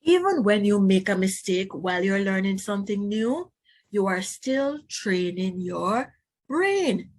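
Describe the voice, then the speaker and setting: A woman teacher reading a children's book aloud, pausing to explain and put questions to young listeners. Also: medium pitch, very animated, darkish tone.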